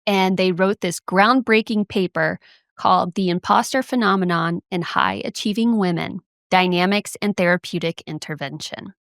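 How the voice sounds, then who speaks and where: A woman's voice: on the low side, normal speed, fairly bright tone, no echo, clean studio sound. A woman co-hosting a podcast, friendly and self-deprecating, moving between easy chat and explaining research. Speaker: podcast co-host. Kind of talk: casual chat and reading out research.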